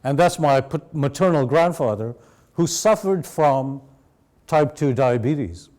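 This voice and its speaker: A man raised in Sri Lanka giving a measured, explanatory university lecture to an audience. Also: medium pitch, animated, a little mellow. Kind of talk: academic lecture.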